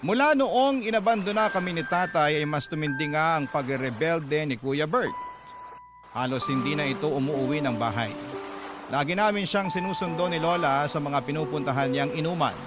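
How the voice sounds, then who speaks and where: A man's voice: a little high, moderate pace, lightly acted. An actor playing a sorrowful young Filipino man narrating a poor childhood in a radio drama. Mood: wistful. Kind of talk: dramatic first-person storytelling. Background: loud music.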